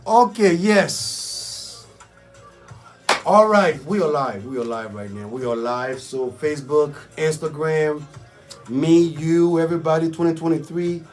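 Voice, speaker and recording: A man with a raspy voice hosting a casual, improvised community radio show in an exclamatory hype style, repeating catchphrases. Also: on the high side, normal speed, soft background music. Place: radio studio.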